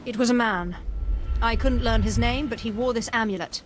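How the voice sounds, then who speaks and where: A woman's voice: on the high side, normal speed, a little hiss or hum, lightly acted. A woman acting a cool young Sith apprentice. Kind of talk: video game voice acting.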